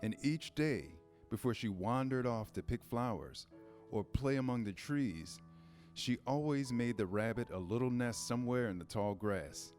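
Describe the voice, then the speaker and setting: Guy narrating a kids storybook, medium pitch, measured, bit of music in back. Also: expressive, darkish tone.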